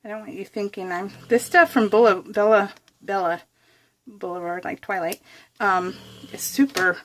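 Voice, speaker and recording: A woman's voice: medium pitch, steady tone, normal speed, a little hiss or hum. A woman vlogger chatting casually through a craft project as she works, giggly and self-deprecating, rambling from one thought to the next. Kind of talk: casual chatty craft tutorial, narrated in real time.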